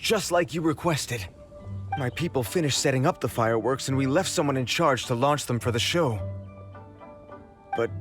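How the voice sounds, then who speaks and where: A man's voice: on the high side, natural voice. An actor playing a flustered, earnest and deferential male official in a video game cutscene. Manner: earnest, fretful, explanatory.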